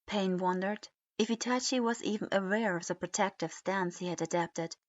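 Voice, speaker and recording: A woman reading a piece of fan fiction aloud in an even, unhurried narration. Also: medium pitch, no echo. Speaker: reader. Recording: dull lo-fi recording.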